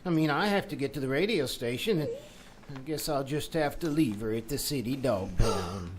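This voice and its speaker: A man's voice: mid-range voice, expressive, natural voice. An actor playing a hesitant, flustered, apologetic man in an old-time radio comedy. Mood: dejected. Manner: halting, stammering, apologetic. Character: hesitant, flustered, meek man called Mister Zinsser. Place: small theater stage, live performance. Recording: faint noise, live stage performance.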